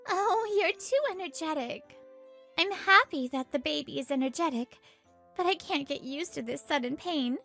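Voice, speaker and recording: A woman's voice: high-pitched, lively intonation, normal speed, vocal fry, pushed and bright, soft background music, full character voice. A woman playing an exasperated young pregnant housewife in a manga dub, complaining expressively and narrating her troubles. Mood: aggrieved.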